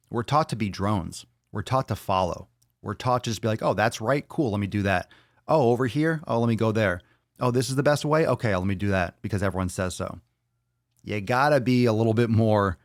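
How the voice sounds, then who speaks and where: A man's voice: medium pitch, no room sound. A young man hosting a solo podcast, ranting bluntly and emphatically with frequent swearing into a close mic. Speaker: podcast host.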